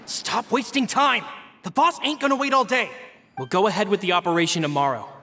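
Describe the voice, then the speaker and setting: A man voicing a gruff, impatient henchman in a video game, speaking in a brusque, rough manner. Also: high voice, fairly quick, over music. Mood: impatient, threatening. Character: gruff, impatient male henchman giving orders.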